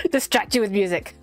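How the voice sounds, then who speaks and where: A woman streaming a game, chatting casually with her viewers and laughing often, her voice worn by a slight cold. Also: a little high. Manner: giggly, chatty, talks to chat by name. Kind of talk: casual live-stream chat.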